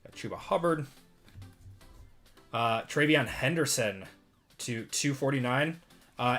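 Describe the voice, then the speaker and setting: A man in his thirties from Wisconsin with a Midwestern accent, hosting a live card break stream and chatting with viewers as he reads out cards. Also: medium pitch. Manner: relaxed, chatty, reads out cards and answers chat. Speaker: sports card break host. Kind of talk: live-stream chat while opening cards.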